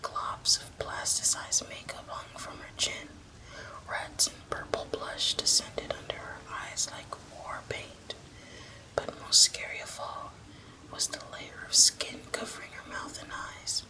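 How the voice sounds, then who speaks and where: Female ASMR horror narrator. Breathy whisper throughout, hushed and deliberate storytelling. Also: poor audio.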